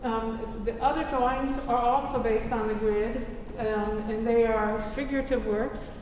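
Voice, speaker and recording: A woman artist calmly explains how she makes her drawings, in a slightly higher voice. The sound is distant, with a long reverb off a hall. Steady noise sits under it. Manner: measured, explanatory. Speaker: artist presenting her work. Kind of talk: gallery talk. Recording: echoey hall recorded from far off, noisy.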